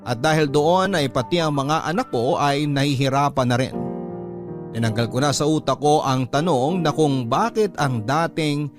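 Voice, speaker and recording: A man's voice: on the high side, normal speed. A man narrating a dramatic first-person story as a radio host. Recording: music under the voice.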